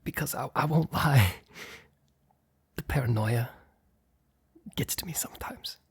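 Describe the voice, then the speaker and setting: A man playing a guarded, earnest mob boss boyfriend in an intimate ASMR roleplay, speaking in a hesitant, breathy, husky whisper. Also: medium pitch, unhurried, full character voice. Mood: resigned, earnest. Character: guarded, apologetic young male mob boss, boyfriend confessing.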